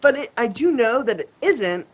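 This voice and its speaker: A woman's voice: a little high, very animated, moderate pace. A woman guest in a casual interview, talking in a rambling, profane way with lots of fillers and restarts. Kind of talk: casual conversation.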